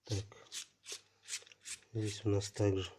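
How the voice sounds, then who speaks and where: A man hosting a casual unboxing video, talking haltingly and thinking aloud while his voice is affected by a cold. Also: on the low side, level tone, warm tone, a little hiss or hum. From Russia. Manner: halting, thinking aloud, many fillers like "so" and "there". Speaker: channel host unboxing parcels.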